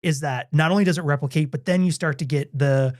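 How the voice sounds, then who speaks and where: Chatty, enthusiastic man with a slightly high voice. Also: fast talker. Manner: enthusiastic, many restarts and fillers. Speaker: podcast co-host. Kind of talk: casual chat.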